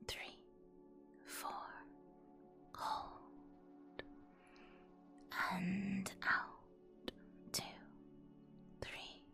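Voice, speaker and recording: A woman leads a guided meditation in a hushed, breathy ASMR whisper. Her delivery is extremely slow and soothing, with long pauses between instructions. The voice sits fairly low, and faint music plays behind it.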